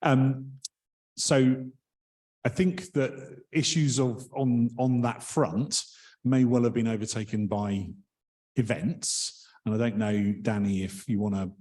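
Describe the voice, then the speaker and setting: A man chairing a members' meeting in a hall, polite and hesitant with many pauses and ums. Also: medium pitch, fairly even intonation, a little mellow.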